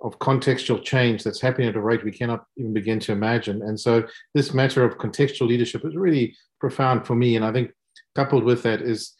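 A man's voice: medium pitch, fairly even intonation, fast talker, darkish tone. A South African man, a business leader, speaking as a podcast guest with enthusiastic, polished corporate phrasing. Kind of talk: podcast interview.

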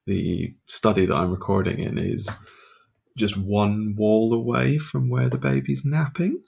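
A low-pitched man with a gravelly, slightly husky voice. He chats casually on his podcast from a home study, keeping his voice quiet and low. He chuckles often between his remarks. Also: fairly even intonation, unhurried. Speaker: podcast co-host. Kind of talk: casual chat.

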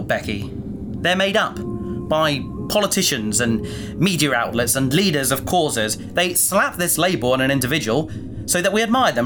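A sceptical, rational crew mate in a sci-fi audio drama, acted by a man, argues in articulate, emphatic phrases. The pitch rises and falls widely, and music plays clearly behind.

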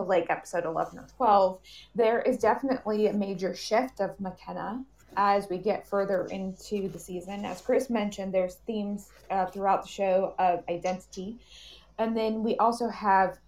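Creaky-voiced woman moderating casually. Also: mid-range voice, poor audio. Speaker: panel moderator. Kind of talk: casual interview questioning.

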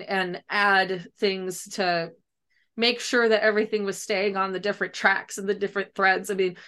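A woman's voice: medium pitch, sharp tone, smooth. A woman talking casually as a guest author in an interview, chatty and loose, often saying like and kinda. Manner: chatty, hedging, frequent "like" and "kinda".

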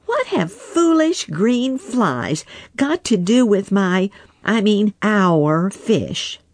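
Reader doing sulky young otter, high and snappish, storybook. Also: big pitch swings, drawn-out words, no echo, heavily acted. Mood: angry snapping. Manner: snapping, indignant. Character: angry sulking young male otter.

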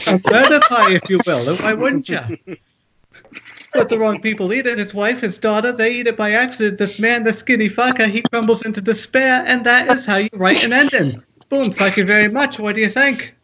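A man's voice: high voice, normal speed, close to an everyday voice. A man playing a manic, nervy 1980s horror novelist in a screenplay table read, rambling and repeating himself with punchy, profane bursts. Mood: manic pitching. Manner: frantic, rambling, repetitive, punchy exclamations, profane. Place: at home on a group internet call. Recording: muffled, noisy internet call with clicks.

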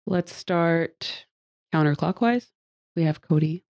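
A woman's voice: lowish voice, takes it slowly, darkish tone. A woman hosting a podcast conversation, a little nasal from a cold she is getting over, talking in a chatty, upbeat way. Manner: chatty and upbeat, with frequent fillers and light laughs. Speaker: podcast host. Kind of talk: casual group podcast chat. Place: seated at a table with guests.

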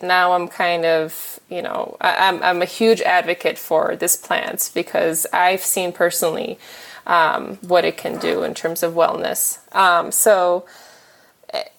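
A woman chatting frankly and casually as a guest, pausing often with fillers and restarts. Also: lowish voice, creaky. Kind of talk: casual chat.

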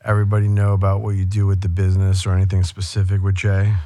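Laid-back host with a dark, dry voice. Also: male voice, low-pitched, monotone, quick pace, no room sound. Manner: laid-back, slangy, rambling questions. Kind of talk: casual interview.

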